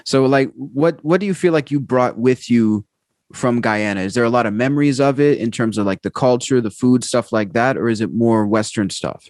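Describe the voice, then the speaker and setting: Easygoing guy hosting a video call interview, mid pitch, dry close sound. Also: moderate pace, a little mellow, dry recording. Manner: easygoing, affirming with "gotcha" and "right". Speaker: podcast host. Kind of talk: casual interview.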